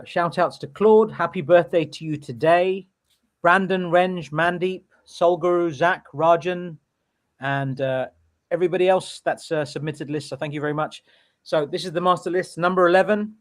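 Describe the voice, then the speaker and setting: A man co-hosts a podcast with a voice a little higher than most men's. He mocks himself with a laugh, then reads out a ranked list steadily. The chat is casual. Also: moderate pace. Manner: joking and self-mocking, steady list reading. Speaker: podcast co-host.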